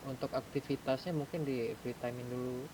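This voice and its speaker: A man's voice: steady tone, normal speed, a little mellow. A man with an Indonesian accent talking casually and colloquially in a gaming group's online voice chat. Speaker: gaming group member in an online roleplay session. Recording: clearly noisy voice chat with rumble.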